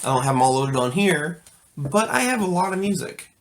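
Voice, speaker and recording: A man's voice: a little high, animated, a little fast. A man giving an informal video product review in an enthusiastic, emphatic and folksy conversational way. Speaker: audio gear reviewer.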